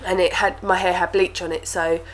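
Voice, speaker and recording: A woman's voice: fairly low pitch, flat delivery, brisk, a little hiss or hum, rough recording. Chatty young woman vlogger, stuffy-nosed, rambling product talk. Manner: chatty, with lots of fillers and repeated words. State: stuffy nose from a cold. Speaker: beauty vlogger. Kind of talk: casual product review to camera.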